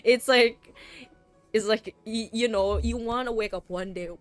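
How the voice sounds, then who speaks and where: A woman's voice: a little high, a little slow, faint music behind. A young woman from the Philippines, chatting casually on a stream and rambling with fillers and restarts.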